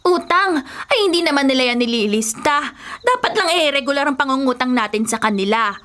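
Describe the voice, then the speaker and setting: Middle-aged Filipino radio-drama wife: high, sharp, envious and forever nagging. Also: female voice, animated, a little fast. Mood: nagging, resentful. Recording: music bed behind.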